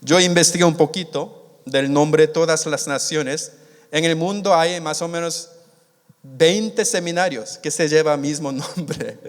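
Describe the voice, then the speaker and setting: A man born in Korea and raised in the United States, preaching a sermon in a halting way, with many restarts, and stretching out the key words. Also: a little high. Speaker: missionary preacher. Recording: long hall reverb.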